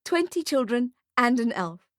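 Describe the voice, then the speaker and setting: A woman's voice: a little high, sing-song, unhurried, a bit bright, no echo, clean studio sound, pitched above the performer's own voice. A woman voicing a caring, solicitous female colleague in an audiobook story. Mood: admiring.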